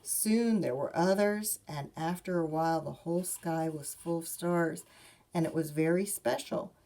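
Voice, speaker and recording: Female children's librarian, storytime read-aloud, slightly low creaky voice, expressive, speaks straight to the kids. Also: measured pace. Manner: expressive reading, talks directly to young listeners.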